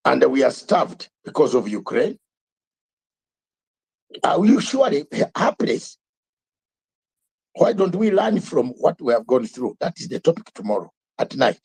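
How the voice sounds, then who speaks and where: A man's voice: mid-range voice, big pitch swings, unhurried, vocal fry, long pauses, darkish tone, husky. An older man hosting a live online talk show, speaking emphatically with repetitions and rhetorical questions. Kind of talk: fiery live commentary.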